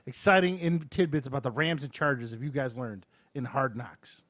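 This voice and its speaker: A man's voice: mid-range voice, lively intonation, a little fast, fairly bright tone, dry recording, studio quality. A man co-hosting a podcast with casual banter in a blunt, salty, joking manner. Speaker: podcast co-host.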